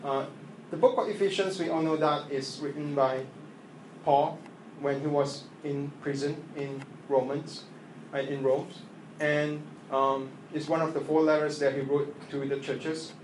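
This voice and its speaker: A man whose first language is Chinese, preaching a sermon in English after an opening prayer, halting and earnest with many fillers and restarts. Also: a little high, fairly even intonation. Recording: echoey room with faint ambient noise.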